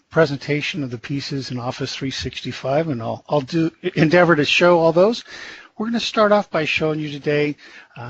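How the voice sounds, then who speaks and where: Casting: man with a slightly high voice, demoing software on a muffled, faintly hissy webinar line. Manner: relaxed, stumbling restarts with uhs. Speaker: technical presenter giving a software demo. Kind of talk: live software demonstration. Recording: dull, faintly hissy web-meeting audio.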